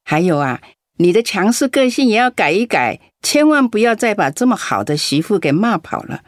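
A woman's voice: medium pitch, sing-song, normal speed, no room sound, clean studio sound, close to an everyday voice. An actress playing a chatty, frank and caring elderly neighbour woman in a radio drama. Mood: frank advice.

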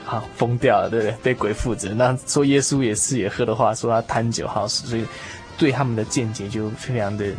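A man hosting a conversational discussion of faith in a reflective, explanatory way. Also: mid-range voice. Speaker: radio talk show host.

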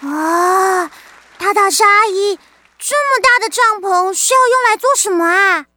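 Little girl witch: tiny high voice, unhurried, pouty and self-doubting, for children's audio drama. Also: pushed and bright, some chatter behind, a little hiss or hum, heavily acted. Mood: amazed. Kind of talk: children's radio play acting.

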